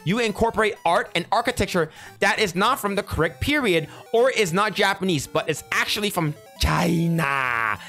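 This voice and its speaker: A young man talking casually into a headset mic, his voice sitting a touch high for a man. He reads posts out slowly and steadily, then breaks off to swear and react. Faint music plays behind him. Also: pushed and bright. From United States. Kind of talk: casual commentary, reading posts aloud. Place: small room indoors at a desk.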